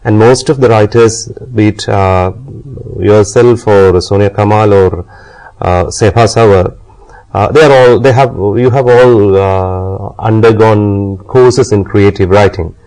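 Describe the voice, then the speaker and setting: A man from India interviewing a writer, asking long formal questions with hesitant pauses. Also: on the low side, fairly even intonation, a little mellow, rough recording. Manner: formal, hesitant, with many uh fillers.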